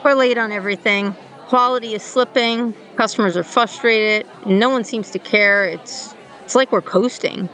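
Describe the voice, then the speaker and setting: A woman's voice: medium pitch, moderate pace, a bit bright, a faint crowd, close to an everyday voice. A woman playing a frustrated, thoughtful company director in a radio play, speaking in a hesitant, conversational way. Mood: worried.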